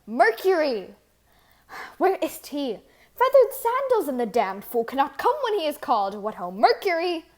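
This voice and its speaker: Woman doing a bossy grumpy king of the gods, blustering orders, highish voice, myth stage play. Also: sing-song, normal speed, a little mellow. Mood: impatient. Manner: commanding, blustering. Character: imperious, irascible old king of the gods. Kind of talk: theatrical solo reading of verse libretto.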